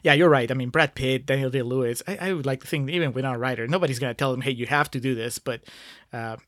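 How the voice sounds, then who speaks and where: A man's voice: mid-range voice, fast, fairly bright tone, dry recording, clean studio sound. A man from Peru co-hosting a podcast, talking casually with hesitant fillers and chuckles. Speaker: podcast co-host.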